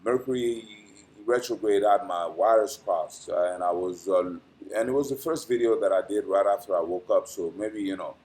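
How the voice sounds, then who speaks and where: A man's voice: sits low, moderate pace, a little mellow, low-quality recording. A man hosting an astrology channel, reading a dense weekly forecast with frequent "uh" fillers and small stumbles, then chatting casually in a rambling sign-off. He pronounces English carefully, as a language he learned later in life.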